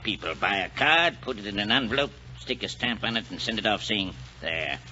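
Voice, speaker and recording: An actor playing a cantankerous, cynical elderly club gentleman in a 1940s radio drama, blustering and scornful. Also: lowish voice, lively intonation, fairly quick. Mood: scornful grumbling. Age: older. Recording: muffled, noisy 1940s radio recording.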